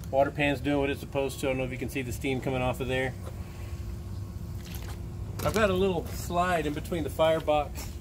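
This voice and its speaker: A middle-aged man giving a casual, plain-spoken product walkthrough outdoors, picked up by a camera mic a few feet away. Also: medium pitch. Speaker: custom cooker builder presenting his build. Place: outdoors in a gravel yard. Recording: clear outdoor background noise, vehicle ambience.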